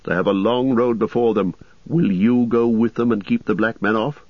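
A man voicing a grim, watchful ranger who speaks low, slow and measured. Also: fairly even intonation, dry recording, highs cut off, close to an everyday voice. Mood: grave. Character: grim, watchful male ranger, steady and guarded.

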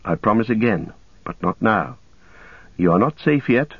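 A man voicing a gentle but firm, anxious hobbit master, coaxing softly and earnestly in a storybook reading. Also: on the low side, expressive, unhurried, husky, dry recording, muffled recording. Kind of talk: storybook character dialogue.

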